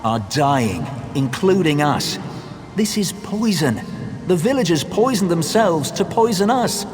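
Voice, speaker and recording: Game villain general: bitter, imperious undead warlord, dramatic swings in pitch, heavy reverb, music underneath. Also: male voice, sing-song, a little slow. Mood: grim. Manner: imperious, theatrical, scornful. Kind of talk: video game voice acting. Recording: long hall reverb, loud music behind.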